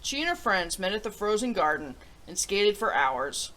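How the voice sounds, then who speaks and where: A woman hosting a children's story show, reading aloud in an animated, expressive way. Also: medium pitch, normal speed, rough recording.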